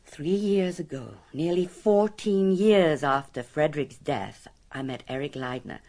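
A low-pitched, haunted woman of middle age in a radio drama. She confides a frightening past deliberately, with dramatic pauses and an undercurrent of fear. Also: mellow. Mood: tense recounting.

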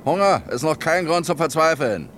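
Curt ex-lieutenant in reverberant space. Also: male voice, fairly high pitch, expressive, normal speed, a bit bright, a little hiss or hum. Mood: curt, defiant. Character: hardened male ex-lieutenant and militia fighter. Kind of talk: radio drama acting.

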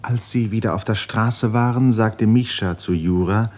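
A man narrating a literary radio drama in a measured, articulate storytelling manner. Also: on the low side, darkish tone.

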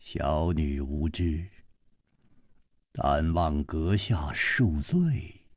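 A man playing a stern, dignified elderly swordsman, a father with a low, grave, authoritative voice. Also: expressive, drawn-out words, husky, dry recording, studio quality, exaggerated voice. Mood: courteous.

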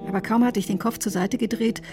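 A woman's voice: fairly high pitch, fairly even intonation, fast, over music, close to an everyday voice. An actress playing an earnest, defensive doctor who tells her own story in a radio drama. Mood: tense recounting. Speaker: doctor, first-person narrator. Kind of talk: radio drama narration and dialogue.

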